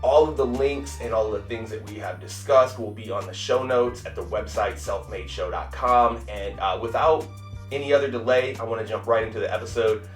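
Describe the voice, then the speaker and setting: A man hosts his podcast in a lowish voice, upbeat and casual, calling his guest "man" again and again. He sits away from the mic in a roomy, echoing space. Soft music plays behind him. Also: brisk, recorded from a distance. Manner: upbeat, says "man" often. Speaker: podcast host. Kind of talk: casual interview chat.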